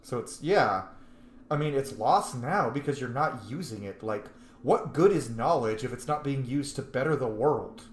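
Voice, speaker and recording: A man's voice: medium pitch, expressive, moderate pace. A man giving casual, slangy, reactive commentary while playing a video game. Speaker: game streamer. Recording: roomy echo, faint noise.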